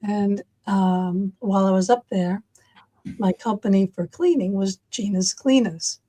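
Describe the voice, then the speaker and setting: A woman's voice: medium pitch, fairly even intonation, a little slow, mellow, low-quality recording. A middle-aged woman from Massachusetts with a Massachusetts accent, chatting casually and animatedly, rambling with many fillers. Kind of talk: casual chat.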